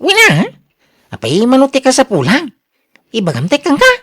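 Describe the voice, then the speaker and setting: A woman plays an easygoing young bachelor in love in a radio drama. The voice is fairly high and extremely animated in pitch, with an Ilocano accent and an eager delivery. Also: a little fast, slightly rough, heavily acted. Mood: helpful, keen.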